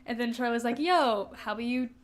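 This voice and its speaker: A woman with a distinctly high voice co-hosts a casual book podcast. She is giggly and informal, retelling scenes in slangy language and playfully putting on the dialogue as she goes. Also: fairly quick. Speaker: podcast co-host. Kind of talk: casual book discussion chat.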